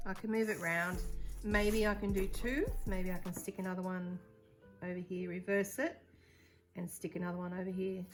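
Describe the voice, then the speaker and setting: A woman with a croaky voice hosting a casual painting tutorial, chatting and thinking aloud as she works. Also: mid-range voice. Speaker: art tutorial host.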